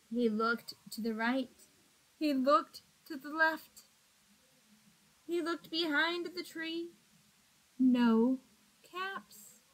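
A woman in her thirties reads a picture book to young children. Her voice is high and her pace is slow and deliberate, with expressive phrasing, picked up by a computer mic in a small room. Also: rough recording. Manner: expressive, deliberate, asks the young listeners questions. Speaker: storybook reader. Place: small indoor room with block walls. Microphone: webcam or laptop at arm's length.

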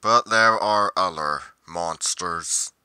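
A man playing a brooding, solitary monster investigator in a roleplay monologue, musing deliberately with pauses mid-sentence. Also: low voice, sharp tone, smooth, dry recording, heavily acted. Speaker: roleplay performer.

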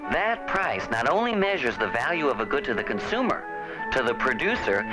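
An actor playing an earnest young small-business owner, explaining things emphatically in a 1970s educational film. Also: male voice, mid-range voice, close to an everyday voice, poor audio. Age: young adult. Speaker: narrator and lead of an educational film. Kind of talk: scripted narration, 1970s educational film acting. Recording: music bed, aged 1970s film soundtrack.

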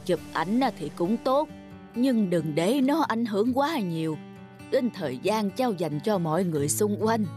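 A woman voicing a gentle, wistful old grandfather in a cartoon, telling things tenderly and wisely. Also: medium pitch, expressive, a little slow, soft background music, lightly acted. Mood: gently advising. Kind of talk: cartoon dub.

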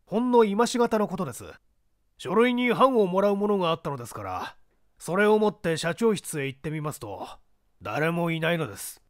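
A businesslike male clerk gives testimony in a mystery story, voiced by a man reading aloud. He is polite and explanatory, in a high, expressive voice. The sound is dry. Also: a little fast, no room sound. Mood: matter-of-fact. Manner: deferential, matter-of-fact, explanatory.

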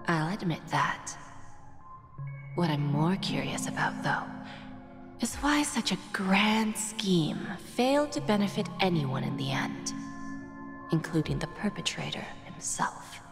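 An actress playing a poised, seductive businesswoman in a video game, languid and breathy as she toys with someone. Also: fairly low pitch, big pitch swings, measured pace. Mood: cool curiosity. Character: poised, seductive, powerful businesswoman toying with a prisoner. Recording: reverberant, with music underneath.

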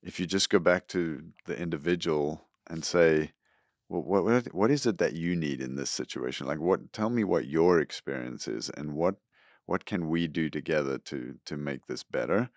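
Very deep earnest middle-aged man. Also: no room sound, clean studio sound. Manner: earnest, measured, repeats words when starting. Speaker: school superintendent, guest. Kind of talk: reflective interview answers. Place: podcast studio room. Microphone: close studio boom mic.

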